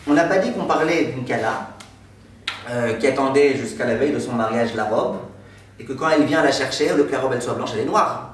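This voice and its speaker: A man in his thirties, a rabbi giving a lively storytelling lesson and joking with his class in a classroom. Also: medium pitch, fairly quick, a little hiss or hum. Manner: animated, gestures broadly, jokes with the class. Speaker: rabbi teaching a Torah class. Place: classroom with a decorated board, indoors. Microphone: far camera. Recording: echoey room from far off.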